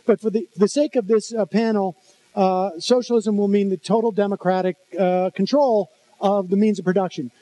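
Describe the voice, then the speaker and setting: A man's voice: high voice, steady tone, moderate pace, mellow. A man moderating a live political panel on stage, speaking with many fillers and restarts. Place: large convention hall stage.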